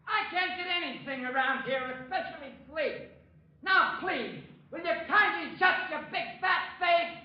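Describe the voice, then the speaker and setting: An exasperated, nervous man next door in a vintage radio comedy. The voice is very high, whiny and slow with pleading. It echoes in a dull old recording. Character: nervous, exasperated, sleepless male neighbour. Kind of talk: 1940s radio comedy acting.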